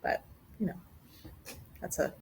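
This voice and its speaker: A chatty woman hosts a relaxed live stream from a yarn shop. She asks playful questions and laughs easily. A faint hum of the shop sits behind her. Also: slow pace, rough recording. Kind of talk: casual live-stream interview. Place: yarn shop with a small gathering.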